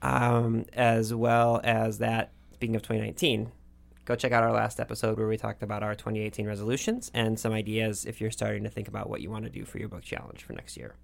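A man's voice: fairly low pitch, flat delivery, gravelly. A man hosting a podcast, casual and enthusiastic, introducing a guest and asking questions. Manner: upbeat, enthusiastic, quick asides. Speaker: podcast host.

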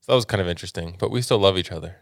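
A young man co-hosting a podcast with his wife, relaxed and teasing in casual chat. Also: low-pitched, very fast, vocal fry, dry recording, clean studio sound. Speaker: podcast co-host.

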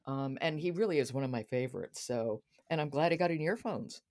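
A woman hosting a podcast in casual chat, briskly prompting her guest with questions. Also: very deep voice, lively intonation, a little mellow. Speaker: podcast host.

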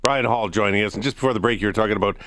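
A man's voice: lowish voice, racing, fairly bright tone, slight background noise. A man hosting a sports talk show in a casual, chatty interview style. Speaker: radio sports talk host. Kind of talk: casual radio interview chat.

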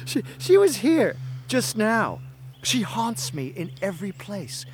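An actor playing a vain, demoted lieutenant in a Shakespearean tragedy, with a boastful, scoffing, animated delivery. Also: male voice, high-pitched, takes it slowly, a little hiss or hum, exaggerated voice. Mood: boastful mockery. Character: demoted young lieutenant, vain and mocking about his lover. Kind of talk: Shakespearean tragedy acting in modernized English.

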